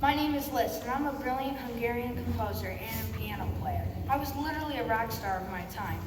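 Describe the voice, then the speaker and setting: A woman's voice: on the high side, fairly quick, lightly acted. A young girl of about eleven playing a cocky, brash composer in a scripted comic school play. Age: child, about 11 or 12. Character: cocky, brash, self-promoting famous male composer. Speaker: student performer in readers theater. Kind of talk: scripted comic stage recitation. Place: school performance in a large echoey room. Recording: echoey hall, far mic, clearly noisy.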